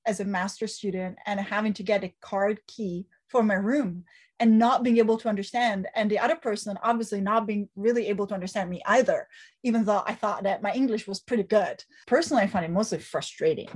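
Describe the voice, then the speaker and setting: A woman's voice: mid-range voice, a little mellow. Reflective woman professor from Italy. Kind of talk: reflective interview talk.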